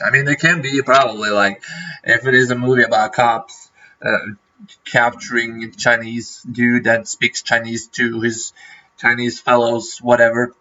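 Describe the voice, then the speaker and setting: A man's voice: medium pitch, bright, forceful tone, lo-fi sound. A man from Norway, a native Norwegian speaker, chatting casually on a livestream and rambling with lots of fillers and restarts. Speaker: streamer.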